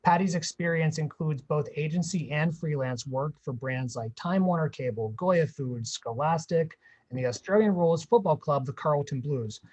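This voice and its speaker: A man's voice: a little high, moderate pace, darkish tone, highs cut off. Upbeat male host reading guest introductions on a video call. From Pittsburgh. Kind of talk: scripted introductions in a panel talk.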